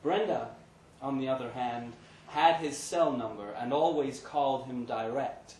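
A man's voice: medium pitch, measured pace, darkish tone. Man reading a story, echoey noisy room. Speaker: story reader. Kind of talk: live literary story reading. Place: pub event room, live reading. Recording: echoey pub room, noticeable background noise.

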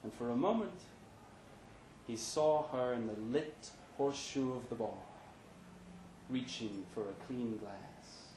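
A man's voice: mid-range voice, takes it slowly, long pauses, darkish tone, slight background noise. A man giving a scripted narration of a short story at a live reading indoors. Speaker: reader of short fiction. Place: pub event, indoors. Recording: echoey live room.

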